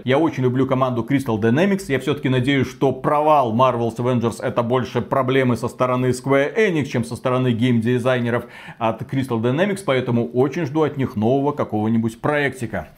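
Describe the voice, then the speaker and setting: This is a man presenting video game news in a conversational way. His voice sits at a middle pitch. He comments with strong personal opinions and colloquial, slightly crude wording, like a co-host talking across the table. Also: quick pace. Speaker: gaming news show co-host.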